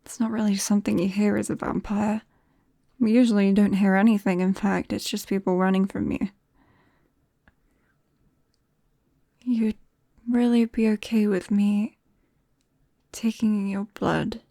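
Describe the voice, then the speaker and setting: A British woman playing a shy, nervous vampire best friend in an ASMR roleplay, speaking softly and haltingly and often slipping into a whisper. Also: medium pitch, flat delivery, no room sound, clean studio sound. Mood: stunned, shy. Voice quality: soft, breathy, often dropping to a whisper. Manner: hesitant, bashful, halting.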